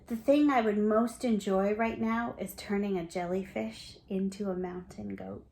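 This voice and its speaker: Woman reading as a kind cheery shepherd guy, gentle and reassuring. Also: medium pitch, fairly even intonation, normal speed, gravelly, natural voice, low-quality recording. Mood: cheerful.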